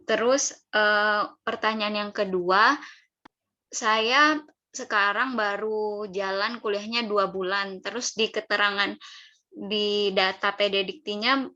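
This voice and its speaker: A woman's voice: mid-range voice, fairly even intonation, slow pace, sharp tone. A young woman asking questions politely and methodically at an online seminar, in a careful, deferential way. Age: young adult. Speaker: audience member asking questions. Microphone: online call, camera off. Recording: slightly dull video call audio.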